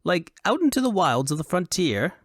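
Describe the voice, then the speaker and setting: A foppish fantasy nobleman, pitched well up and swooping theatrically. He is vain, haughty and excitable, with affected airs and little sense behind them, as heard in an audiobook. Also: normal speed, no echo, studio quality. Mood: puzzled, eager. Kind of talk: audiobook character acting.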